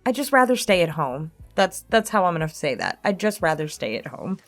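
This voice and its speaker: A woman's voice: lowish voice, very animated, brisk, soft background music. A woman casually chatting through a question-and-answer session, candid and self-deprecating, with a freely sweary way of talking. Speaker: online creator answering subscriber questions. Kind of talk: casual Q&A chat.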